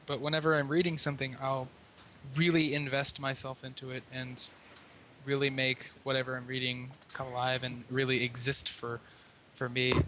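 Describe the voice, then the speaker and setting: A man's voice: mid-range voice, pushed and bright. A man on a podcast panel chatting in an earnest, wordy, reflective way. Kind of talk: casual chat. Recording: noisy hiss behind voice.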